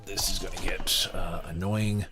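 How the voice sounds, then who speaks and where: Low-pitched man, halting casual podcaster. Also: fairly even intonation, noisy background. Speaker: podcast host. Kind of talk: casual off-the-cuff commentary on a book reading.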